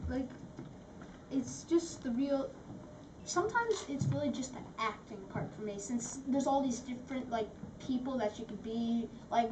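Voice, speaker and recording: A drama camp student talking about acting after a show, rambling and saying like a lot. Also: female voice, fairly high pitch, background noise. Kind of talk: answering teacher's questions.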